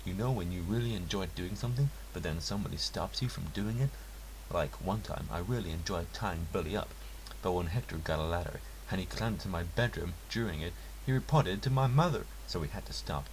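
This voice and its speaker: Low-voiced man narrating over hiss. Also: brisk. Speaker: narrator reading a story aloud. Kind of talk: scripted first-person narration. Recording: hissy, clearly noisy home recording.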